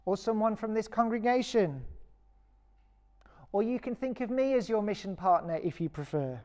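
A British woman vicar giving a recorded sermon in a measured, earnest and encouraging way. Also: on the low side, warm tone. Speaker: parish vicar preaching.

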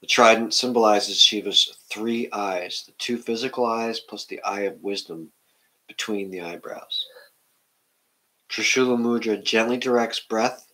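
Middle aged guy leading meditation, calm and measured, deep voice w a bright edge. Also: rough recording. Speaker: meditation guide. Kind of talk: gentle guided meditation and reading aloud. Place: indoor room at home, seated on the floor.

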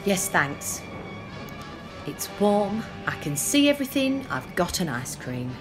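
An actress playing a quiet, polite, demure young woman on a date in a radio play. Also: mid-range voice, sing-song, slow, over music.

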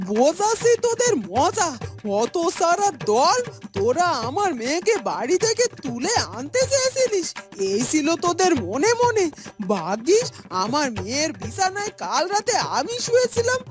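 A woman voices an angry middle-aged mother-in-law in a cartoon. Her very high voice swings widely as she scolds and threatens, with music behind. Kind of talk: Bengali fairytale cartoon acting.

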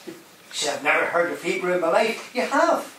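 Echoey preacher in a hall. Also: male voice, sits high, sing-song, brisk, pushed and bright. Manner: emphatic, rising and dropping for effect, questions the congregation. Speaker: guest preacher. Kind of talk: sermon. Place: large hall. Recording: very echoey hall, mic at a distance, faint hiss.

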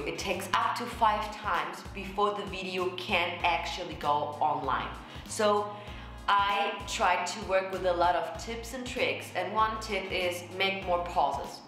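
A young woman vocal coach, a native German speaker, explaining herself in casual commentary to the camera with lively gestures. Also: mid-range voice. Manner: animated, gestures a lot, explanatory. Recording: very echoey room.

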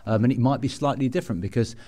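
A man hosting a podcast, chatting and asking questions in a relaxed interview style. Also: medium pitch, very fast. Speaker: podcast host.

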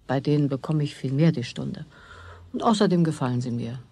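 A woman playing a brisk, matter-of-fact working grandmother with a gravelly, husky older voice in a children's radio play. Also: rumbling low, mellow. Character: brisk, unfussy working grandmother, a cleaning lady.

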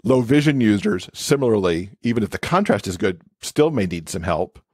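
Male interview guest, lowish pitch, conversational explainer with "you know" asides, dry sound. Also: animated, moderate pace, breathy edge, no room sound. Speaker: podcast guest, accessibility expert and author.

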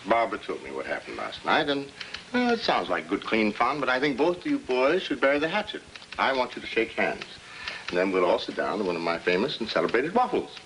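A man's voice: medium pitch, very animated, a little fast, fairly bright tone, slight background noise, low-quality recording. An actor playing a genial, self-assured middle-aged American suburban father with jovial, theatrical pronouncements in a 1950s film style. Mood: pompous, commanding. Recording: 1950s film soundtrack with music behind.

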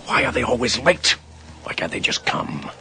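An actor playing a sinister, mocking old train conductor from the beyond, with folksy menace and a gravelly voice, in radio horror drama. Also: male voice, mid-range voice, very animated, normal speed. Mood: impatient, menacing. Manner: folksy, menacing, taunting, drawling. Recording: slightly noisy 1980s radio recording.

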